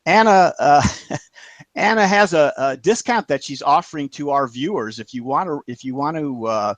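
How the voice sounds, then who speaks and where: Halting male web show host, dry sound. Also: a little high, no room sound, dull audio. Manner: halting, many "uh" fillers; friendly, thanking panelists and viewers. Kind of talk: casual closing announcements on a web show.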